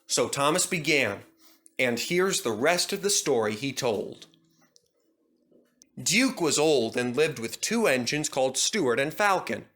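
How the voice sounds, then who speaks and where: A man's voice: medium pitch, lively intonation, unhurried, a bit bright. A man narrating a scripted children's story as a storybook reader.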